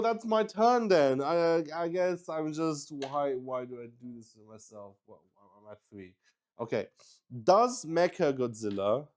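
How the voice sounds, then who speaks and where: A man's voice: medium pitch, darkish tone. A man narrating a solo tabletop roleplaying game casually, thinking aloud, mocking himself and swearing freely. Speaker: solo player and game master.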